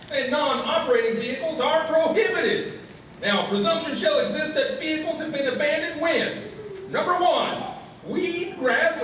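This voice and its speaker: An actor playing an officious small-town official reading a mock public service announcement in radio theatre. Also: male voice, very high-pitched, a bit bright. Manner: formal, officious. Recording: echoey hall, distant mic, faint noise.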